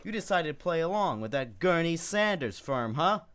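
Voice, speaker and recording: A man's voice: on the high side, very animated, normal speed, fairly bright tone, clean tone. A man playing a blustering, hot-tempered crooked stockbroker in an old-time radio drama. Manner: blustering, threatening.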